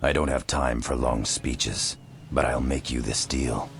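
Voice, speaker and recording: A gruff holy-order soldier from a fantasy game. His extremely low, creaky voice is solemn and formal, with grave weight on his words. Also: measured pace, a bit bright, soft background music, heavily acted. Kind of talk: video game cutscene acting.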